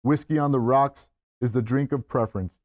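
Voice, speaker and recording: A man's voice: medium pitch, moderate pace, a little mellow, no room sound, clean studio sound. A man reading stage directions as narrator at a script table read, in a scripted narration style.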